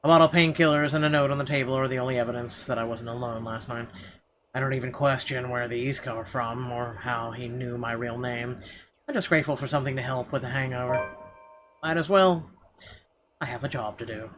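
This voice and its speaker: A man's voice: mid-range voice, steady tone, a little fast. A man hosting a playthrough, reading narration aloud and dropping in wry, sweary asides. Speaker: let's play host. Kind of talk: reading game narration aloud with off-the-cuff comments. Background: game music.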